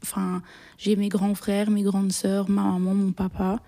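A young woman from Belgium, answering interview questions shyly, with many pauses, fillers and restarts. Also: medium pitch, level tone, warm tone. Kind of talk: hesitant personal interview answers.

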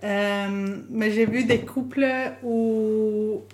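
A woman's voice: medium pitch, fairly even intonation, drawn-out words, darkish tone. A woman in a casual interview, talking in a hesitant, thoughtful way in a reverberant room.

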